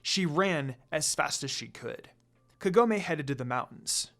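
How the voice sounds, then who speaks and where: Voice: mid-range voice, expressive, measured pace, a bit bright, faint music behind. A narrator reading a fan fiction story aloud in a dramatic storytelling style.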